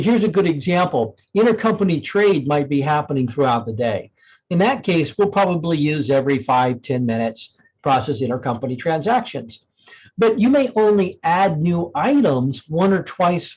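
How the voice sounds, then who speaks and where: A man with a fairly high voice walks through software as a demonstrator. He explains things conversationally, thinking aloud as he goes. The recording is somewhat dull and lo-fi. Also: slightly rough. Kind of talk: informal software demo walkthrough.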